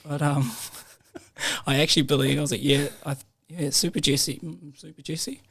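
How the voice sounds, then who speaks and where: Laid-back New Zealand man, narrow pitch. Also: normal speed, vocal fry, slightly rough. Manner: laughs often, relaxed storytelling with slang. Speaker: guest. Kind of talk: casual podcast chat. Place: podcast room.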